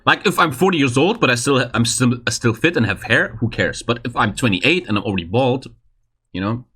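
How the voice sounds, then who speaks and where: Podcast host, man in his late twenties, bright forceful voice, lots of pitch movement, soft music under. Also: animated, fairly quick, breathy edge. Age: 20s. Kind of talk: casual podcast chat.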